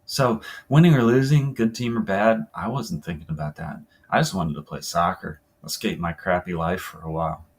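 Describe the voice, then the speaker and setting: Man as female soccer player, husky, weary, frank, downbeat, conversational novel monologue. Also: sits low, moderate pace, few pauses, pitched below the performer's own voice. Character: woman soccer player wearily recalling a crappy teenage life. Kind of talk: reading an oral-history novel as interview monologue.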